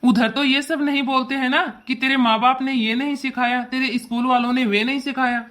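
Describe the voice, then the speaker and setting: A woman's voice: high voice, fairly even intonation, fairly quick, fairly bright tone. A woman voicing an indignant, protective father who lectures emphatically in a cartoon family drama. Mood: stern lecturing. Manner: emphatic, lecturing, repetitive scolding.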